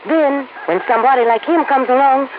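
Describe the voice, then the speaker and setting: A woman's voice: high voice, brisk, natural voice. Woman playing a suspicious Italian farm wife. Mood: scheming. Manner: broken English, blunt and suspicious. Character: shrewd older Italian immigrant farm wife. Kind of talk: 1950s radio drama. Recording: tinny old radio broadcast with noise.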